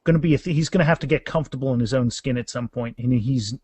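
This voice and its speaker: A man speaking as an author guest in a casual interview, halting and restarting phrases, with self-deprecating humor. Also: mid-range voice, darkish tone, husky, no room sound.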